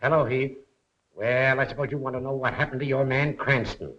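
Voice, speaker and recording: A stern, vindictive police commissioner out of a 1930s crime picture, played by a man. He blusters and needles with sarcasm in a medium-pitched voice. The recording is muffled. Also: fairly even intonation, brisk, fairly bright tone, a little hiss or hum, lightly acted. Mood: stern, threatening. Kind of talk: 1930s film acting. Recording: muffled 1930s film soundtrack.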